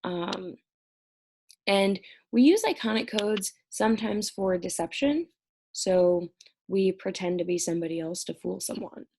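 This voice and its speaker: Young woman with vocal fry. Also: medium pitch, unhurried, dark tone. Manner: conversational, explanatory teaching. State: stressed and short on sleep. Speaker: college instructor. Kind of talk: recorded exam review lecture. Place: at home.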